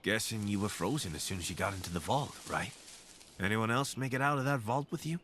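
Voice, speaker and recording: An actor playing an affable, curious wasteland scavenger in a video game, casual, wry and eager to team up. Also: male voice, lowish voice, a little fast, a bit bright, slight background noise, natural voice. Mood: curious, probing. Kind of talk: video game companion dialogue.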